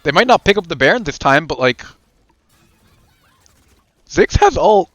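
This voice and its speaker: A man from Israel casting a live esports match, calm and dry in his play-by-play. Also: a little high, measured pace, dry recording. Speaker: esports caster. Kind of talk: live game commentary.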